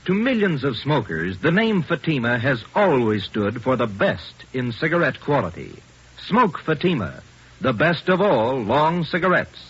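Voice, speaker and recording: Muffled vintage radio with music, American male pitchman, lively swooping intonation, polished, emphatic. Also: a little slow, slightly rough. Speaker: commercial announcer. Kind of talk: 1940s radio advertising pitch. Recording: dull old radio recording, music behind.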